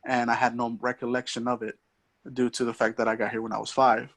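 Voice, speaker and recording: Soft-spoken hesitant young man, flat delivery. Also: mid-range voice, fast. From Mexico. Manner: hesitant, many ums and restarts. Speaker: podcast guest. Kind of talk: casual conversation.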